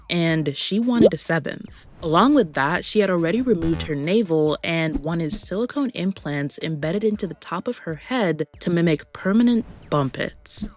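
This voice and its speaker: Sassy woman narrating commentary, lowish voice. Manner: sassy, casual, swears and chuckles. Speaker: commentary video host.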